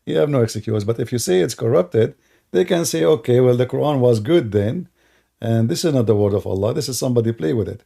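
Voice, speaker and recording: A man's voice: medium pitch, lively intonation, a little fast, vocal fry, mellow. A middle-aged man from the Middle East with an Arabic accent, hosting a live stream chat in a dismissive manner and laughing often. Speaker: host.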